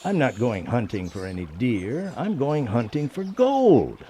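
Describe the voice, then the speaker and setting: A man's voice: medium pitch, sing-song, measured pace, dark tone, slightly rough. An actor playing an easygoing, teasing sidekick to a policeman in a radio play, with an informal delivery. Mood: teasing, playful.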